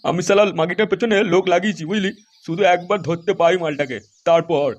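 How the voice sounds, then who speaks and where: A man's voice: sits high, fast talker, dull audio, natural voice. A man voicing a jealous, foul-mouthed husband who bosses his buddies around, brash and threatening, in a crude comedy cartoon. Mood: suspicious, angry.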